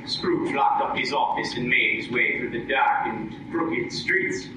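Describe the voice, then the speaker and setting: A man's voice: mid-range voice, measured pace, background noise, close to an everyday voice. A man playing an old-time radio announcer, narrating formally and theatrically in 1940s style. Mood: dramatic. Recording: echoey hall, far from mic.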